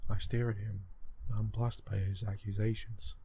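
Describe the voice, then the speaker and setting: A man with a low, mellow voice reads a visual novel aloud as soft ASMR. His delivery is unhurried and halting, dropping into casual asides. Faint ambient noise and clicking sit behind him, as from a room with open windows. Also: little pitch movement. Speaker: ASMR let's play host and reader.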